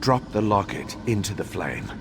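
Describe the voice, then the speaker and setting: A man voicing a bitter, grieving male spirit in a fantasy game, solemn and anguished, with a gravelly voice. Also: lowish voice, sing-song, normal speed, long reverb tail, background noise. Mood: solemn, hopeful. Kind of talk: dramatic fantasy game dialogue.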